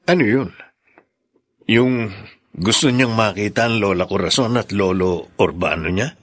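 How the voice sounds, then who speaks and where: An actor playing a caring, thoughtful husband and father in a Filipino radio drama, with a gentle, inquisitive manner. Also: on the low side, measured pace, no echo, clean studio sound.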